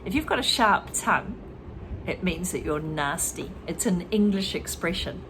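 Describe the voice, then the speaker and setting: A woman's voice: on the low side, animated, moderate pace, noisy background. A woman reading a children's story aloud and stopping to explain English words and expressions to learners. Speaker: reader and English teacher.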